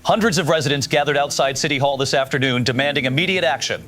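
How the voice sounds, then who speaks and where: Guy playing a serious news anchor, quick formal delivery, faint music under it. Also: medium pitch, fairly bright tone, natural voice. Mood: composed, serious. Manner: formal, measured newsreader delivery. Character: composed male evening news anchor. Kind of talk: scripted TV news bulletin.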